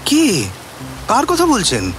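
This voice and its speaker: An actor playing an anxious, puzzled man who speaks haltingly with stammers in an audio drama. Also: extremely high pitch, huge pitch swings, over music, full character voice. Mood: alarmed. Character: anxious, puzzled colleague named Sumon, startled and halting. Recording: noisy rain and water ambience. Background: rain or rushing water.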